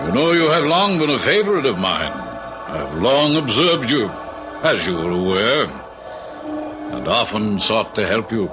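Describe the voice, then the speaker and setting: An actor playing a devilish tempter, a knowing fallen angel who speaks in a suave, coaxing way in an old radio drama. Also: male voice, fairly low pitch, sing-song, measured pace, music in the background, low-quality recording. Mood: knowing, calm.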